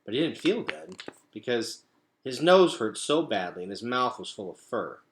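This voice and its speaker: A man's voice: mid-range voice, sing-song, normal speed, a little hiss or hum. A man reading a storybook aloud as a storyteller, with dramatic, emphatic phrasing.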